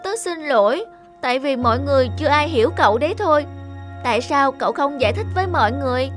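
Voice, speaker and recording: A woman's voice: sits high, animated, fast, soft background music. A woman voicing a kind, earnest little schoolboy in a cartoon, with a sincere, pleading manner. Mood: friendly, curious. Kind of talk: Vietnamese cartoon voice acting.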